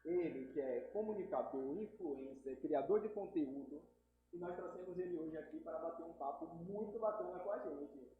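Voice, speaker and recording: A man's voice: on the high side, normal speed. A man co-hosting a podcast, joking and teasing his guest in a casual chat. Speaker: podcast co-host. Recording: very echoey, phone-like sound.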